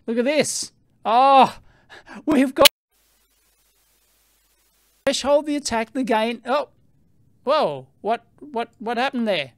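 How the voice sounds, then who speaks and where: A middle-aged Australian man with a fairly high voice talks excitably while he tries out a microphone. He thinks aloud, laughs at himself and complains freely. His voice sounds close and dry, with almost no room around it. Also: dry recording. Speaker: electronics video host. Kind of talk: casual product review. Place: cluttered electronics lab indoors. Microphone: studio microphone on a stand.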